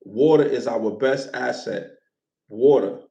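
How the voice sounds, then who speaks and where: A man's voice: medium pitch, slow pace, gravelly, dark tone, reverberant. A man in his forties hosting a casual livestream, loose and playful, repeating himself and thanking viewers for gifts. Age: 40s. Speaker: livestream host.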